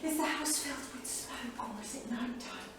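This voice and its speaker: A woman's voice: high-pitched, normal speed, a bit bright. An actress playing a frank, flamboyant bohemian woman of the 1930s in a vivid, theatrical staged monologue. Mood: shaken, intense. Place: theatre studio stage, far microphone. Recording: distant echoey theatre studio, faint noise.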